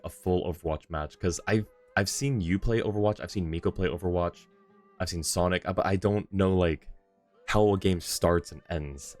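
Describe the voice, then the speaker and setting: A man's voice: deep voice, a little mellow. A man hosting a livestream, chatting casually and informally with his viewers in a friendly, welcoming manner. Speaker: livestream host. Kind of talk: casual gaming stream chat.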